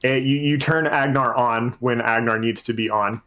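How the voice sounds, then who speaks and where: A man with a mid-pitched voice speaks as a game master in a casual panel discussion. His delivery is conversational, as on a tabletop gaming podcast. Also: steady tone, normal speed, pushed and bright.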